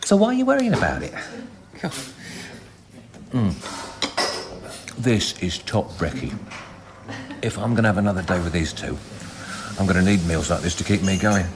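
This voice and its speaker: A man's voice: deep voice, very animated, normal speed, pauses often, background noise. An actor playing a wry, grumbling, blokey film crew man in a naturalistic radio drama.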